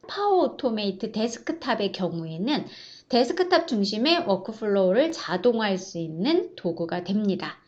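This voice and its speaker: Female e-learning instructor, higher-pitched voice, formal explanatory lecture delivery, slightly dull recording. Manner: explanatory, polite formal address. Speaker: online course instructor. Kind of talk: lecture-style e-learning presentation.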